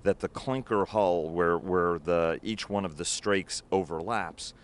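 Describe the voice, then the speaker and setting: A man's voice: low voice, measured pace, slight background noise. A middle-aged man interviewing outdoors at a waterfront with a handheld mic, asking long, detailed, informed questions. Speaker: interviewer, editor. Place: outdoors on a wooden ship at a city waterfront.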